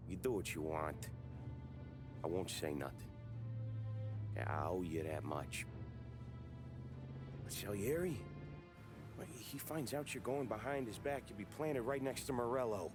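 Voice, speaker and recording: A cool-headed mobster who runs the crew, low and steady but with a lively swing to his lines. His voice is calm and blunt, with a game cutscene score swelling loud underneath. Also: unhurried, pauses often, music in the background. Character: level-headed male mobster crew leader. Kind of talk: video game cutscene acting.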